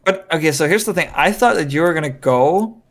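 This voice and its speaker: A man's voice: a little high, lively intonation, brisk, a bit bright. A man from Denmark chatting casually on a livestream, rambling with many hesitations. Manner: rambling, hesitant, lots of fillers and restarts. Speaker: streamer.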